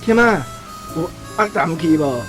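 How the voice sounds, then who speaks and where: A man's voice: fairly high pitch, animated, unhurried, music in the background. A man playing a doting old village grandfather in a radio drama, fussing caringly with a Taiwanese accent. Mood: relieved.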